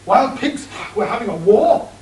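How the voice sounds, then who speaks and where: A man playing a slow-witted, bumbling henchman in an amateur radio play. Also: high voice, animated, darkish tone. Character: dim, bumbling male henchman. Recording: roomy, distant, faint hiss.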